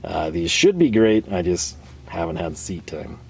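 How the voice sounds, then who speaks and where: A man hosting a tool video in his workshop, chatting casually and rambling with fillers, his voice a bit hoarse from a cold. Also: sits low, sing-song, moderate pace, darkish tone. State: slightly hoarse, losing his voice. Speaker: tool channel host. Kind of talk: casual show-and-tell talk. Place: home workshop.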